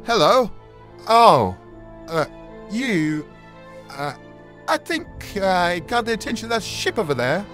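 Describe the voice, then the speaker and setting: A male voice plays an earnest, helpful stranded man in a video game, calling out to survivors. It is high and lively, with light music behind. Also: slow, pauses often, exaggerated voice. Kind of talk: video game character voice lines.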